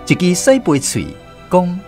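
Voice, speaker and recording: A man's voice: sits high, wildly swooping pitch, unhurried, a little mellow, faint music behind, heavily acted. An actor with a Taiwanese accent narrating a radio drama as a man fondly recalling his rural boyhood. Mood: reflective storytelling. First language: Taiwanese Hokkien. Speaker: narrator telling his childhood story.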